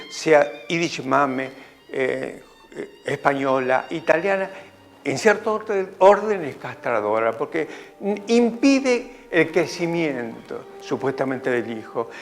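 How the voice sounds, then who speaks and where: A man reflects slowly in an interview, his voice gravelly and a bit high for a man. He pauses to search for words, with faint music behind him. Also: big pitch swings. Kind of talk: interview about a play.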